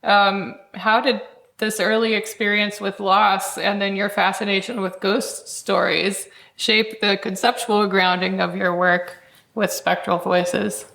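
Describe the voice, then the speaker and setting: A woman's voice: mid-range voice, level tone, a little slow, vocal fry, a bit bright. A woman hosting a podcast, giving prepared introductions and putting interview questions to a guest. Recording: roomy, echoing sound.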